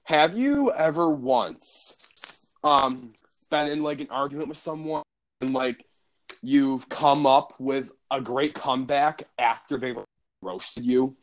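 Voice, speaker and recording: A man's voice: mid-range voice, slow, low-quality recording. A teenage boy in a casual chat, rambling and hesitant, often trailing off mid-thought. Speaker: co-host friend.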